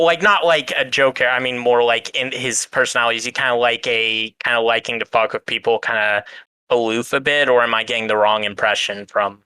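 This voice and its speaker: A man's voice: medium pitch, pushed and bright, clean tone, no echo, poor audio. A man chatting casually as a podcast co-host, in an earnest, hesitant, rambling way. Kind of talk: casual chat.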